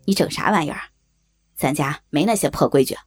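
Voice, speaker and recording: A man's voice: a little high, a little fast, faint music behind, natural voice. An actor playing a coarse, leering rural man with a Northeastern Chinese accent, blunt and pressing, in an audio drama. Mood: gruff, dismissive. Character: coarse, leering rural brother-in-law, domineering and cajoling.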